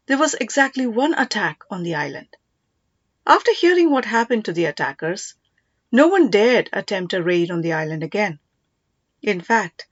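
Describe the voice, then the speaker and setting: A woman's voice: medium pitch, no echo. Woman narrating a story, dry dull recording. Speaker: audiobook narrator.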